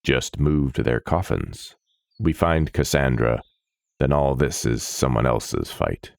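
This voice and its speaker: A man voicing a huge, blunt, grim warrior in a fantasy story, speaking tersely and gruffly. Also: extremely low pitch, animated, slow pace, dry recording, voice pushed down, full character voice. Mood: grim dismissal. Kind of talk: fantasy story character dialogue.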